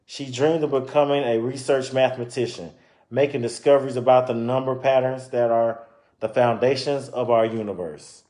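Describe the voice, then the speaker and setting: A man reading a children's book aloud and pausing to explain and comment informally to his listeners. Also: medium pitch, steady tone, a little mellow. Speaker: reader presenting a children's book. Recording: roomy, echoing sound.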